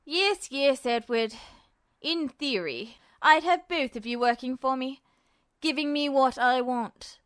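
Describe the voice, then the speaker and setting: A woman's voice: sits high, clear, resonant tone, no room sound, studio quality. A woman voicing a cold, sadistic man, a smug villain who taunts and threatens in an audiobook story. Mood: smug, mocking. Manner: taunting, softly drawn-out threats.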